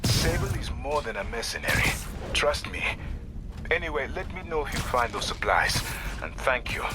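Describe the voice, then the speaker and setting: Earnest, sober man with widely swinging pitch acted. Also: very animated, moderate pace, lightly acted. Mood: sincere, firm. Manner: serious, formal, explanatory. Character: earnest male aid worker, ex-mercenary, sober and loyal. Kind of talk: expository video game dialogue. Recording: loud music and machinery behind voice.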